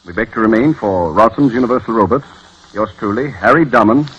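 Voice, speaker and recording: A man's voice: on the low side, fairly quick, a bit bright, husky. A man playing a businesslike robot factory manager dictating letters in a vintage radio drama. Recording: dull old radio recording.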